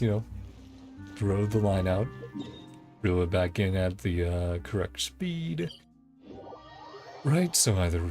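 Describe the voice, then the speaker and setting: A man giving casual let's-play commentary in a relaxed, chatty way, sometimes reading game dialogue aloud. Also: lowish voice, creaky. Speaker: game streamer.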